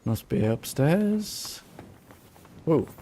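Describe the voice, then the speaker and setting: A man's voice: on the high side, big pitch swings, very slow, mellow, slight background noise. A man streaming a video game, giving casual playthrough commentary, musing aloud with dry jokes.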